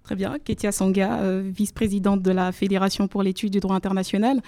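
A woman's voice: medium pitch, flat delivery, fairly quick, warm tone, dry recording. A young woman from the Democratic Republic of the Congo, a law student guest on a radio interview, speaking formally with many hesitations. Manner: hesitant, many fillers, careful formal phrasing. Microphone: studio microphone.

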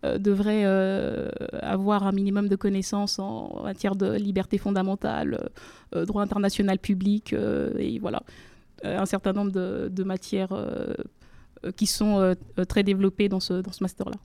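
A woman's voice: mid-range voice, level tone, normal speed, creaky, dark tone, dry recording. A young woman from the Democratic Republic of the Congo, a law student guest on a radio interview, speaking formally with many hesitations. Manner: hesitant, many fillers, careful formal phrasing. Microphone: studio microphone.

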